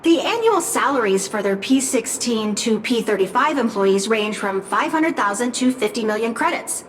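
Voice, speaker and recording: An actress playing an efficient female business assistant who reports to her boss in a crisp, brisk way for a game cutscene. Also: a little high, a little hiss or hum, natural voice, low-quality recording. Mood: scheming, upbeat. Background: game music.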